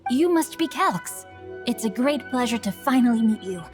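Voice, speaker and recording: An earnest, well-bred boy writer from a video game, played by a woman. The voice sits fairly high and speaks with formal, genteel politeness. Music plays clearly in the background. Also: animated, moderate pace, lightly acted. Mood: formal, flustered. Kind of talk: English video game dub acting.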